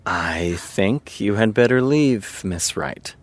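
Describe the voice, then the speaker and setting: Pompous, animated TV showrunner. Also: male voice, lowish voice. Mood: coldly dismissive. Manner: pompous, deliberate, lecturing. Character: smug, self-assured male TV showrunner and writer. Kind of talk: naturalistic audio drama acting.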